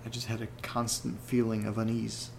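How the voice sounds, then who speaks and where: A man playing an uneasy horror podcast host who reads letters aloud, earnest and deliberate, with pauses between phrases. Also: on the low side, monotone, vocal fry, a little mellow, a little hiss or hum. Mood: uneasy, troubled. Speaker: audio drama podcast host character reading listener letters. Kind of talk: dramatic narration in a horror audio drama.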